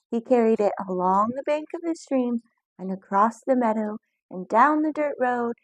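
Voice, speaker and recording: A woman reading a children's picture book aloud, lively and expressive, with voices for the characters. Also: fairly high pitch, no room sound, low-quality recording. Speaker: storyteller.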